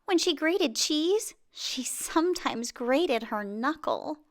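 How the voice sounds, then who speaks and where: A woman reading a children's picture book aloud in an expressive way, with dramatic pauses and exclamations. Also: high-pitched. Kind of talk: children's storybook narration.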